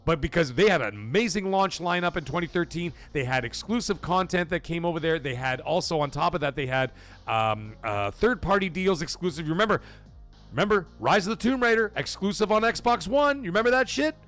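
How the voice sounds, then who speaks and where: A man hosts a casual gaming livestream, reading viewer super chats and firing off blunt, profane opinions. His voice sits a touch high for a man, and he jumps loud for shout-outs before settling back. Faint music plays underneath. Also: a little fast. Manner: excitable, swings loud for donation shout-outs. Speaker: livestream host.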